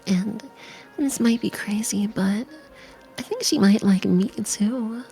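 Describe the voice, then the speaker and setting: A woman voicing a smitten game character confiding secrets in a hushed, breathy half-whisper. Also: medium pitch, takes it slowly, pitched above the performer's own voice. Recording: music behind the voice.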